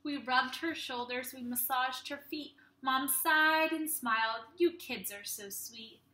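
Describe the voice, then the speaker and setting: A young woman teacher reading a storybook aloud to young children in an animated, expressive way, speaking to them directly. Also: high-pitched, slow pace. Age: young adult. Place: small room at home. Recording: roomy, echoey home webcam sound.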